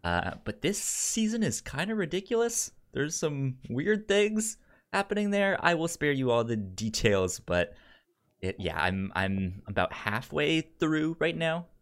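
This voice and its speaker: Casual podcast co-host with a mid-pitched voice, rambling and conversational, repeats words. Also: very animated, a little slow. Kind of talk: casual chat.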